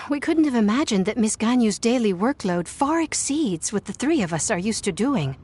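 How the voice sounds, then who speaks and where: Husky, high-voiced weary secretary. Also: female voice, animated, moderate pace, darkish tone. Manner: sighing, weary. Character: weary, overworked female office secretary. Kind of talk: video game voice acting.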